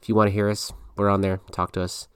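Male podcast host, deep low register, rambling and giggly, dry close sound. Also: level tone, quick pace, smooth, no room sound. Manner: chatty, giggly, lots of fillers. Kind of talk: casual podcast chat.

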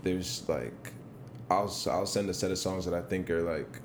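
Deep chill dude rambling on a podcast, echoey room, some hiss. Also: male voice, fairly even intonation, moderate pace. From New York. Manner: laid-back, filler-heavy talk with many ums. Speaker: rapper guest. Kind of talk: casual podcast chat. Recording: roomy, noisy podcast mic.

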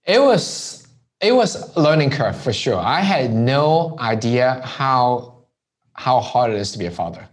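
Man from Hong Kong, conversational interview guest, relaxed and anecdotal. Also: mid-range voice, unhurried. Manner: relaxed storytelling with frequent "right?" tags. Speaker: entrepreneur guest.

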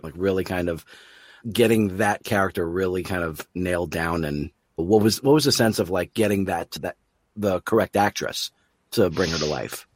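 A man with a fairly low, husky voice hosts a relaxed interview. He is encouraging and enthusiastic, and the sound is close and dry. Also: dry recording. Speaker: interview host and producer. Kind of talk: casual interview.

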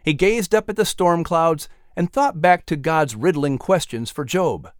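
A man's voice: fairly high pitch, measured pace, smooth, dry recording, clean studio sound. A man narrating an audiobook story in a dramatic, scripted style.

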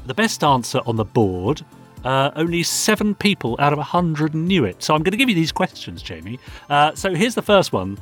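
A man's voice: a little high, very animated, normal speed, fairly bright tone, soft background music. A British man co-hosting a podcast, chatting casually and reading out listener messages in a teasing, chatty manner. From United Kingdom. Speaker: podcast co-host.

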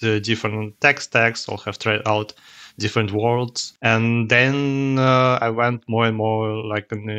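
A man from Russia with a Russian accent answers interview questions conversationally, in halting, hesitant non-native phrasing. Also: mid-range voice, fairly bright tone. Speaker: podcast guest, tech executive.

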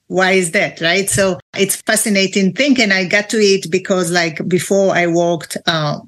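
A woman's voice: on the low side, fairly even intonation, moderate pace, a bit bright. Israeli-accented woman, chatty and laughing. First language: Hebrew. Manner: chatty, laughs often, many fillers. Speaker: guest, NLP trainer. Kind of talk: casual interview chat.

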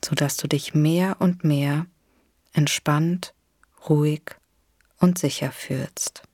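A woman leading a guided imagery relaxation exercise in a soothing, gentle, unhurried way with long pauses. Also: low-pitched, fairly even intonation, no room sound, clean studio sound. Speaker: guided meditation instructor.

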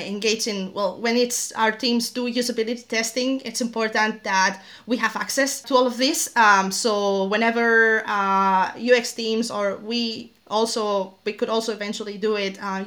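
Bright-voiced woman, halting speech. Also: fairly high pitch. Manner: hesitant, many fillers and restarts. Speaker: podcast guest, SEO specialist. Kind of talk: interview answers.

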